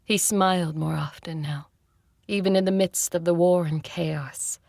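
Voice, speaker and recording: An ageless vampire conqueror in an intimate fiction podcast. Her voice is low, husky and slow, close and dry. She is dryly teasing and tender, keeping everything hushed. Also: fairly even intonation, dry recording. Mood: intimate narration. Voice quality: husky, creaky. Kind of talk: intimate audio drama acting and first-person narration.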